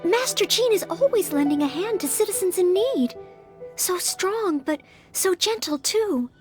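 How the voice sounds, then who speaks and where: Very high girlish pitch, eager young maid, polite, earnest, game dialogue, music behind. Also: lively intonation, measured pace. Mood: admiring, wistful. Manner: polite, formal, deferential. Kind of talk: scripted video game character acting. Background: background music.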